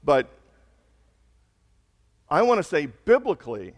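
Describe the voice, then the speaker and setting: A man preaching a sermon as a pastor, hoarse, talking conversationally and building to slow, emphatic peaks. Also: fairly high pitch, sing-song, in a large hall. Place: church sanctuary.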